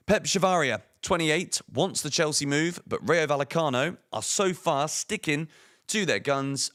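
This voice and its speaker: Man, hoarse croaky voice, fan channel host, opinionated transfer commentary, emphatic rhetorical questions, slightly higher pitch. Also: a bit bright. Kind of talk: football transfer news commentary.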